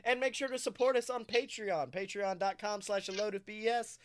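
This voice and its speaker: A man co-hosting a podcast, chatting casually in an excitable, jokey storytelling manner. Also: high voice, moderate pace, slight background noise. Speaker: podcast co-host. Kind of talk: animated casual podcast chat.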